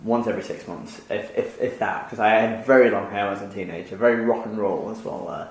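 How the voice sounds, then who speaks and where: A man's voice: on the low side, fast talker. A man hosting a podcast in casual chat, rambling with many fillers and restarts and laughing easily. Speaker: podcast host. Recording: echoey room.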